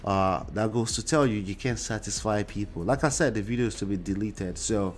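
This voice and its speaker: Nigerian man hosting a stream, fairly low voice. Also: a little fast, slight background noise. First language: Yoruba. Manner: conversational, with frequent "uh" and tag questions like "right?". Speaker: show host. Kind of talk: livestream commentary reading viewer comments.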